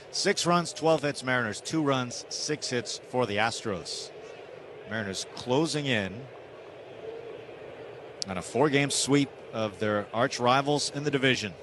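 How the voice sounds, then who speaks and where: Guy calling a ballgame on radio, short clipped bits, noisy stadium behind him. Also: medium pitch. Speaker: baseball play-by-play announcer. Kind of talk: live radio sports commentary. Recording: noisy live ballpark radio broadcast. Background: outdoor stadium ambience.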